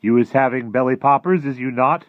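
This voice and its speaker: A man voicing an excitable, friendly storybook giant who mangles his words in folksy, childlike grammar. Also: mid-range voice, a little fast, no echo, dull audio, voice pushed up, natural voice. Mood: eager, explaining.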